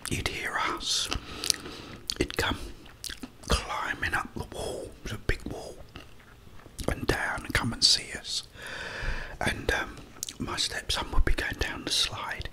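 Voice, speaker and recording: A middle-aged man tells personal stories in an ASMR style. He speaks in a hushed whisper, very slowly and haltingly, leaving pauses between short phrases. His delivery is unhurried throughout. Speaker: ASMR creator.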